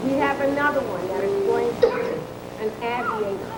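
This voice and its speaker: Echoey, high-voiced woman giving a motivational address. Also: moderate pace. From United States, St. Louis. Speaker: guest speaker. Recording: echoey, very noisy live room tape with rumble.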